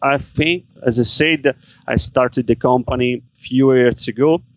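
A man answers questions in halting, careful English. The audio is dull and muffled, like a remote call, and very dry. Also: mid-range voice, no echo. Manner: halting non-native English with fillers. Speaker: guest, business seller. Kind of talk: interview answers.

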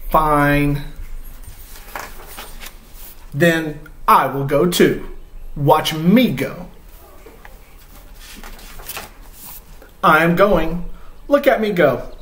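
A man's voice: a little high, fairly bright tone. A man voicing an anxious, clingy cartoon elephant in a storybook read-aloud, pleading dramatically with a best friend. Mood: defiant. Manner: dramatic, pleading, drawn-out repeated questions. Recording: roomy indoor echo.

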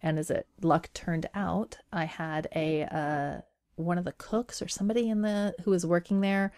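A woman's voice: on the low side, fairly even intonation, moderate pace, a little mellow. A woman in her forties, from Iran, telling her life story as a podcast guest with wry humor and frequent laughs. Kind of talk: casual interview conversation.

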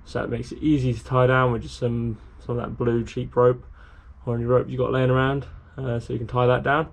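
A young man casually explaining a DIY build outdoors, talking to a handheld camera in a relaxed, rambling way. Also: mid-range voice, fairly quick, gravelly. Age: young adult. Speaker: sailing vlogger. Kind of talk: casual how-to vlog. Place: outdoors in a garden, later in a shed. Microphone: handheld camera at arm's length.

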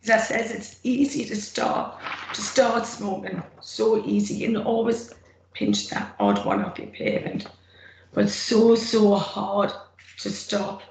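A middle-aged woman with a rough, gravelly throat, giving an earnest, plain-spoken personal testimony from her notes on a video call. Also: mid-range voice, expressive, slow, a little mellow. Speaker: former smoker speaking at a roundtable. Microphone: computer mic on a video call. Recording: echoey room, faint noise.

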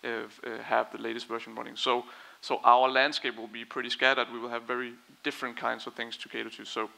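A man presenting at a conference takes questions into a headset mic. His voice is bright and forward, with a gravelly fry. He explains things carefully and diplomatically, in a measured way. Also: mid-range voice, little pitch movement, rough recording. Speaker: company presenter answering audience questions. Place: conference stage in a large brick and concrete hall.

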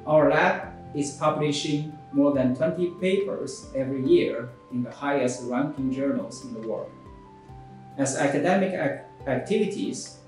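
A middle-aged man, a university professor, giving a formal prepared introduction in measured, list-like phrases that trail off softly at the ends. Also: medium pitch. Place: bare room with grey wall. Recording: very echoey room, faint music.

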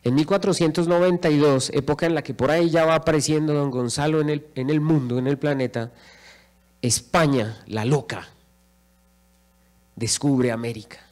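Middle-aged Colombian man, fairly high voice, rousing lecture into a handheld mic, rhetorical repetition. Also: fairly even intonation, moderate pace, darkish tone. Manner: rhetorical, repeats phrases for emphasis, paces the stage. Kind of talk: impassioned public speech. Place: large auditorium stage. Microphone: handheld microphone.

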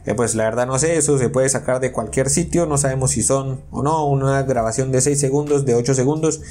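Indignant man, mellow voice. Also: medium pitch, soft background music. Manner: indignant, earnest, explains slowly while reading messages aloud. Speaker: YouTuber warning about an investment scam. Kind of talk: casual screen-recording commentary. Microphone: voice-over on a screen recording.

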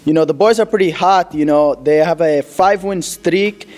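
A man voicing an excitable, cocky puppet sportscaster who rambles in slangy, emphatic comic commentary. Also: a little high, normal speed, natural voice. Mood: cocky, insistent. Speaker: sports segment host.

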